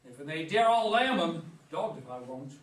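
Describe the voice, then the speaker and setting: A man's voice: fairly high pitch, very animated, normal speed, slight background noise. Guy doing blustery old american rural man, stage play, folksy talk, echoey room, mic far off. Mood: indignant, grumbling.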